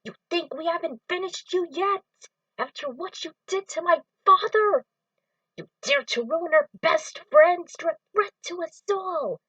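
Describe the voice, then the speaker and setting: Young storybook hero, high and fierce, done by a woman; dry sound. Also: lively intonation, unhurried, no room sound, far from the mic, full character voice, low-quality recording. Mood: defiant. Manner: high, loud and intense.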